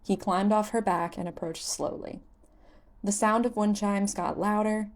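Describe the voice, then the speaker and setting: A woman's voice: mid-range voice, steady tone, measured pace. A woman reading scripted fan fiction as an audiobook narrator.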